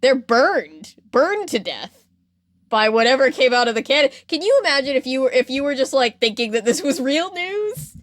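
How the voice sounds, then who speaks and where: A woman podcast host telling a story in a casual, animated and jokey way. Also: sits high, normal speed, no echo. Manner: animated, jokey, acts out quotes.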